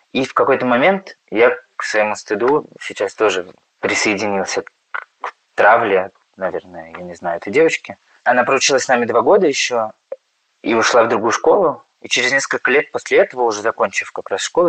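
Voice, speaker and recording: A man's voice: fairly low pitch, a bit bright, no room sound, rough recording. A man in his late twenties calling in with a hesitant, confessional question. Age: late 20s. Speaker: caller. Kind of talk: recorded listener question.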